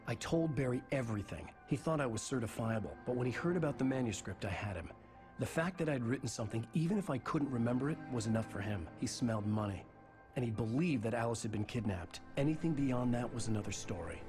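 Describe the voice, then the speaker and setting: A man's voice: mid-range voice, animated, quick pace, close to an everyday voice. An actor playing a troubled, terse writer in his thirties in a video game drama. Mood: brooding narration. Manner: terse, brooding. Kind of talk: video game drama acting, partly narration. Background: music.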